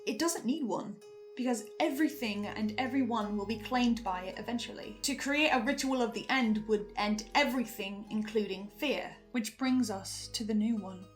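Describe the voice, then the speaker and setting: Female host, higher register, earnest theorist with deliberate pauses, faint music underneath. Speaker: host presenting theories on a horror podcast.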